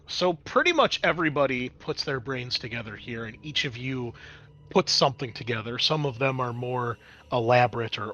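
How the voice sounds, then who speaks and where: A man runs a tabletop roleplaying game as its game master. His voice is bright and clear-cut in tone, and he explains things in a conversational, unscripted manner. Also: medium pitch.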